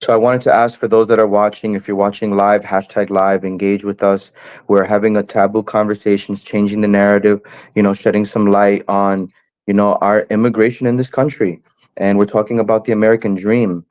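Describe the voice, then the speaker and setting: A man's voice: lowish voice, level tone, fairly quick, darkish tone. Upbeat male talk show host. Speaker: show host, community finance coach.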